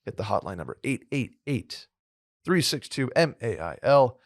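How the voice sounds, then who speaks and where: A man co-hosting a podcast in casual chat, with a hoarse, slightly nasal voice and a rambling, upbeat way of talking. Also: medium pitch, sing-song, normal speed, no echo, studio quality. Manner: rambling, filler-heavy, upbeat. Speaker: podcast co-host.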